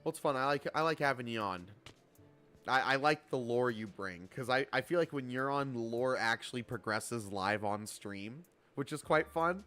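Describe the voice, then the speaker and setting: A man's voice: medium pitch, lively intonation, moderate pace, sharp tone, soft background music. A man of twenty-seven chatting casually on a game livestream, bantering playfully and swearing freely. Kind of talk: casual livestream chat while gaming.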